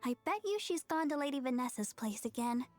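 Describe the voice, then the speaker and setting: A woman playing a sweet, devout, caring young church woman with a gentle manner in an anime video game. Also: high-pitched, animated, fairly quick, faint music behind.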